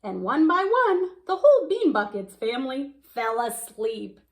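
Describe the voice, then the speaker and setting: Lively woman reading a children's storybook. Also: sits high. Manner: animated storytelling with emphatic, drawn-out words. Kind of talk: expressive read-aloud of a picture book.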